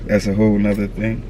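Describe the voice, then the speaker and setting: A man in his thirties speaks outdoors in a low register, his delivery level with little rise and fall. He talks earnestly and loosely in slang, circling back to the same advice. Faint traffic hums behind him. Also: a little mellow. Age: 30s. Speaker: vlogger. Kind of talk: candid motivational talk to camera.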